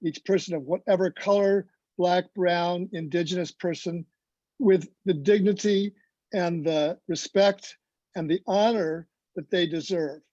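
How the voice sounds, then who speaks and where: A man giving reflective, preacherly remarks as a roundtable panelist, deliberate and building lists in measured phrases, on a lo-fi video call. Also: sits high, fairly even intonation, warm tone, no echo.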